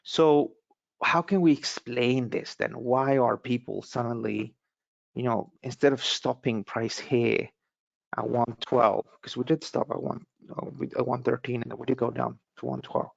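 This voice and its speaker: Man from Sweden, market analyst, gravelly voice, rambling commentary, dull dry sound. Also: medium pitch, fairly even intonation, measured pace, no echo. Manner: loose, rambling explanation with many restarts.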